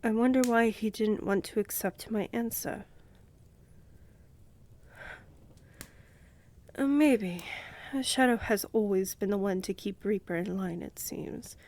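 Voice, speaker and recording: A woman playing a poised vampire countess in a fantasy audio drama, measured and regal, condescendingly affectionate, with a breathy voice that often drops to a whisper. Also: medium pitch, darkish tone, a little hiss or hum, lightly acted. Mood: pensive, courteous. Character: poised, commanding young vampire countess, coolly indulgent.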